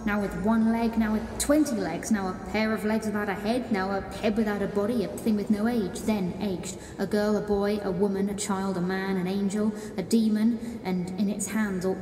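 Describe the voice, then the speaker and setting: A woman's voice: mid-range voice, fairly even intonation, normal speed, hardly any pauses, a little hiss or hum, close to an everyday voice. A woman playing a theatrical storyteller, narrating a rhyming Christmas tale in an audio drama, echoey and distant. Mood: storytelling. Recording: echoey, distant, very loud ambience.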